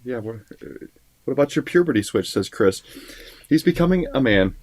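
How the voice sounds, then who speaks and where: A man's voice: mid-range voice, animated, moderate pace, husky. A man co-hosting a livestream with casual banter and a dry, teasing manner. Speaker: co-host and musician on a music livestream.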